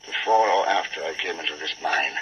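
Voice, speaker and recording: Muffled old-radio tough investigator. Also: male voice, very deep voice, fairly quick, bright, forceful tone, slight background noise. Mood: suspicious. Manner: clipped, dry, businesslike. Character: brisk, wry, tough American male investigator hero. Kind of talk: 1940s radio drama acting.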